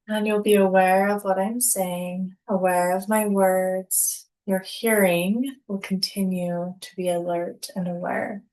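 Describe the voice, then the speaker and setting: Woman, meditation instructor. Very slow, calm, unhurried delivery in mid pitch, measured pauses, guided relaxation read. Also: poor audio. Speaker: yoga nidra instructor.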